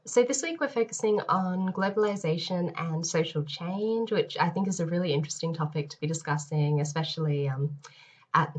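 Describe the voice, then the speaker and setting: A woman lecturer with a head cold, sounding nasal and a bit hoarse, giving a recorded lecture with many ums and restarts. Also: fairly low pitch, moderate pace. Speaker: university lecturer.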